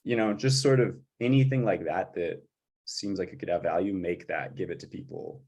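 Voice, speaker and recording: A man in an informal chat about music production, tentatively working through his ideas out loud. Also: deep voice, animated, normal speed. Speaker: composer for audio drama.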